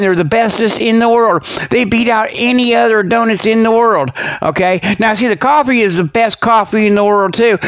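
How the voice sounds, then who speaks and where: A man voicing an excitable, folksy comic radio reporter who rambles through mock sponsor pitches, often checking for agreement. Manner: folksy, rambling, repetitive, seeks agreement with okay and you know. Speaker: host.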